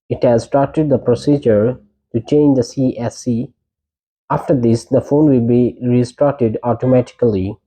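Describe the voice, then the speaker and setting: Dark-voiced man giving tech instructions. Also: mid-range voice, poor audio. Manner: short plain imperative instructions. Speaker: tech tutorial presenter. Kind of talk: step-by-step instructional narration.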